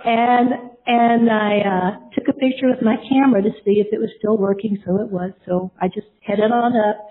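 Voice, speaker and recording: A woman's voice: mid-range voice, fairly even intonation, normal speed. A middle-aged woman sharing a personal testimony, telling her story earnestly and rambling, with many fillers and restarts. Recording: tinny phone-like line.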